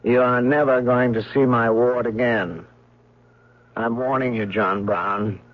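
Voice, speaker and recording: Male actor for radio-play guardian: hard, threatening man giving orders, husky edge, dulled old broadcast sound. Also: mid-range voice, steady tone, a little slow, dull audio, lightly acted. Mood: cold threatening. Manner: commanding, threatening. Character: stern, menacing male guardian threatening an intruder. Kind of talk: radio drama acting.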